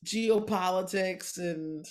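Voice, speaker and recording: Animated woman in her forties telling stories. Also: on the low side, slow. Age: 40s. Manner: animated storytelling, acts out quoted voices. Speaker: comedian guest. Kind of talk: casual podcast chat.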